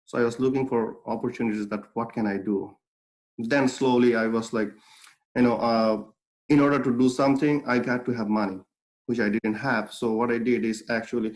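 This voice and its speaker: A young man from Bangladesh who grew up speaking Bengali talks through his life story as an interview guest. His voice sits at an ordinary middle pitch, and his delivery is earnest and reflective, full of fillers and restarts. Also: rough recording. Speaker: guest, clothing brand founder.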